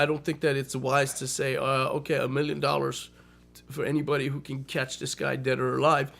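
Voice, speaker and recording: A man co-hosting a casual radio chat, ranting and swearing, a little hoarse, and flaring up loud now and then. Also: on the high side. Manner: ranting, profane, flares up loud when laughed at. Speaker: podcast co-host.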